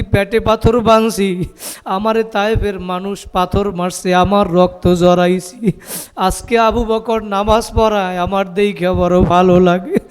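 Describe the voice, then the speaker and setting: A man's voice: high voice, clear, resonant tone. A man with a Bangladeshi accent preaching an impassioned Islamic sermon, stretching words into chanting calls and putting rhetorical questions to his listeners. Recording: long hall reverb, public-address sound.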